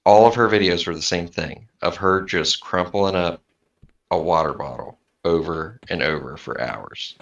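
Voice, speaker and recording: Man, very low voice, forceful bright tone, chatty, sounds far from the mic. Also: moderate pace, vocal fry, lo-fi sound. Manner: chatty, laughs easily. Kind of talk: casual chat.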